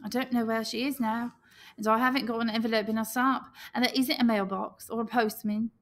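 A woman's voice: fairly high pitch, fairly even intonation, brisk, voice pushed up, lightly acted. A woman voicing an anxious, indignant young schoolboy in a storybook reading, urgent and earnest. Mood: solemn, dignified.

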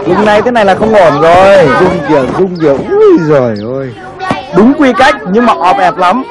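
A man's voice: high-pitched, sing-song, moderate pace, over a noisy crowd, heavy background noise. A man voicing a fussy, critical schoolteacher in a cartoon, exclaiming and passing official judgement. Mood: appraising. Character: fussy male schoolteacher judging camp tents. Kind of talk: cartoon voice acting.

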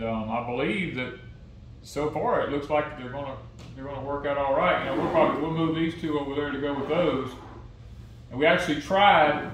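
A man's voice: mid-range voice, a little hiss or hum. Older man, folksy homestead host, roomy echo, camera far off. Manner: folksy, plain-spoken, opinionated. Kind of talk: casual homestead vlog. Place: home dining room, indoors. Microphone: camera mic from across the room. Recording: echoey room, voice from off-mic.

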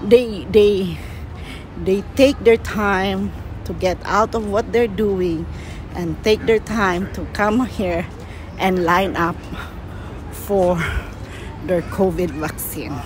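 A woman whose first language is Filipino narrates a walking vlog in a slow, earnest way, often repeating words for emphasis. Her voice sits at a medium pitch. She records on a handheld phone outdoors, with clear street and traffic noise behind her. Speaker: vlogger. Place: outdoors on a busy city waterfront plaza. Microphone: handheld phone camera, speaker off screen.

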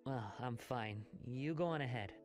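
A man's voice: mid-range voice, moderate pace, soft background music, lightly acted. A man playing a kindly, reflective alien commander in a video game cutscene. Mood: subdued.

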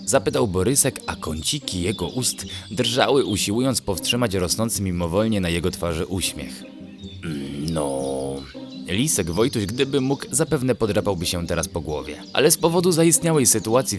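Male narrator, deep voice, lively intonation, scripted children's bedtime tale, expressive, background music. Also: moderate pace, few pauses. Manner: expressive storytelling. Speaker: narrator of a children's story podcast.

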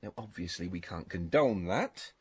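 Radio drama: genial male police officer, dry and teasing, slightly rough voice, animated intonation. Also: sits low, normal speed, full character voice. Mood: wry, probing.

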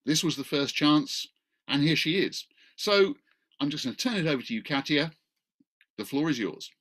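A man's voice: fairly high pitch, normal speed, a bit bright. A middle-aged man, speaking informally and wryly as he introduces an online talk from a video call. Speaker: event chair, law professor. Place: small book-lined room at home, indoors. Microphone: video call, computer microphone.

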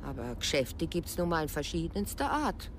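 A woman's voice: sits low, sing-song, normal speed, a little hiss or hum. An actress playing an older widowed businesswoman in a radio drama, proper, evasive and strained. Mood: evasive, placating. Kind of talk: naturalistic radio drama acting.

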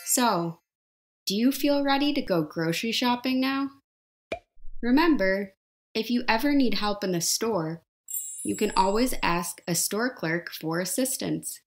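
A woman narrating a scripted life skills lesson, explaining each step slowly and simply and asking the viewer questions. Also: mid-range voice, animated.

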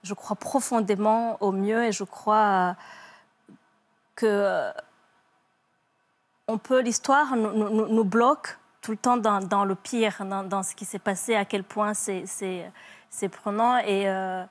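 Soft-spoken woman, halting testimony. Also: mid-range voice, fairly even intonation. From Rwanda. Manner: soft, halting, many hesitations and repeats. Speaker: guest, psychologist. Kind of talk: emotional TV interview testimony. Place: TV studio set. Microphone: lapel mic.

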